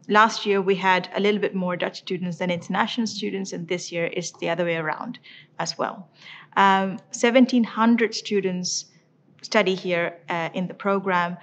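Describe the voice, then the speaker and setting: A woman senior lecturer presenting a university degree program in a measured way, with frequent ums and uhs. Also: mid-range voice, a little hiss or hum.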